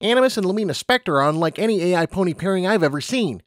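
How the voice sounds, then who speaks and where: Man acting a brisk, raised-pitch lab scientist. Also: expressive, no room sound, studio quality. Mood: impressed. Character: brisk, enthusiastic male lab pony scientist. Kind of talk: acted audiobook character.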